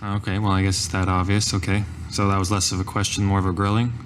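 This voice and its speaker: A man's voice: deep voice, monotone, fast, background noise. A man playing a tabletop game, improvising in character in a hesitant, conversational manner. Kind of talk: improvised in-character roleplay in own voice.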